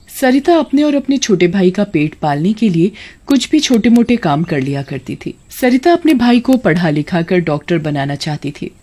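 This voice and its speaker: A woman's voice: lowish voice, mellow. Woman narrating a scripted moral story.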